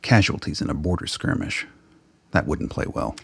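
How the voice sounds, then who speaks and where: A man's voice: extremely low pitch, big pitch swings, normal speed, gravelly, husky, dry recording. A man reading scripted narration for an audio drama.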